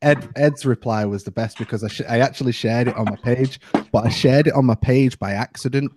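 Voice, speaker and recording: A man's voice: medium pitch, fairly quick, darkish tone, no echo, studio quality. A man hosting a casual group live stream, rambling with fillers and laughing often.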